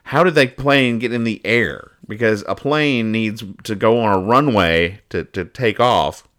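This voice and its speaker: A man hosting a casual podcast, talking through comic strips in a halting, mock-analytical way and reading out the dialogue. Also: lowish voice, fairly bright tone. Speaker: podcast host. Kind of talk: casual chat, describing comic strips and explaining the jokes.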